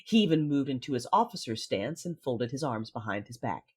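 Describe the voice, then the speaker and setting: A man playing a guarded, commanding Sith lord in a sci-fi audio drama, narrating inwardly in a husky voice. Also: mid-range voice, big pitch swings, brisk. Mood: brooding, controlled. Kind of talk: first-person audio drama narration and dialogue.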